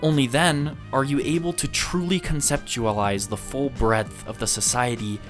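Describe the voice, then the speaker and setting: A man's voice: medium pitch, steady tone, moderate pace, over music. A man presenting a video essay as the host, speaking to viewers in a review style. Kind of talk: scripted review narration with occasional casual asides.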